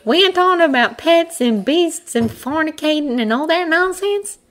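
A woman's voice: high voice, lively intonation, unhurried, a bit bright, pitched above the performer's own voice. A woman voicing a dry, scolding female Arctic hare with a smug, admonishing manner in a storybook reading.

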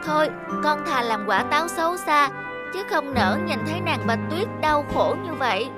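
A woman's voice: high voice, animated, normal speed. A woman voicing an earnest, aggrieved young talking apple in a children's cartoon, with plaintive, emphatic delivery. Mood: resolute. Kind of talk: dubbed children's cartoon dialogue. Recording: loud music behind. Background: loud music.

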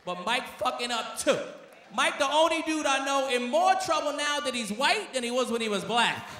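An American man in his thirties doing stand-up comedy in a loud, animated, profane manner on a handheld microphone. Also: very high voice, moderate pace. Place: large theater stage with live audience. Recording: echoey theater PA, audience noise.

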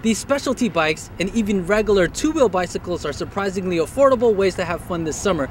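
A man's voice: high voice, lively intonation, fast, slight background noise. A young man co-hosting a TV segment outdoors, delivering upbeat scripted lines. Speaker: TV host. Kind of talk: scripted presenting. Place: outdoors at a bike rental lot.

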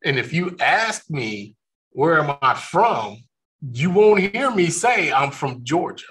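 A man's voice: on the high side, very animated, fairly bright tone, rough recording. A man from California, hosting a faith discussion, talking earnestly with many restarts. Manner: slow, earnest, with frequent restarts. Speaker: ministry discussion host.

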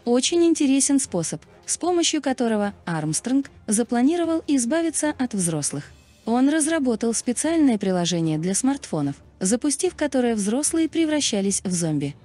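A woman narrating a scripted video in a measured, explanatory manner. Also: on the high side, very animated, dark tone.

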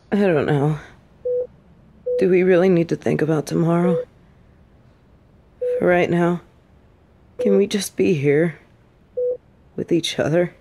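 Female secret agent for audio roleplay, acted by a woman: stern yet devoted, lowish voice, slow emphatic delivery. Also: vocal fry, warm tone. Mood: weary, tender. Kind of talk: dramatic intimate audio roleplay.

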